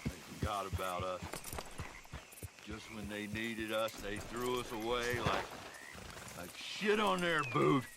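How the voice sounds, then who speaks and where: A man's voice: on the low side, a little slow, creaky, pauses often, music in the background. A man playing a bitter, disgraced old working man who rambles indignantly in a video game cutscene. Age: older.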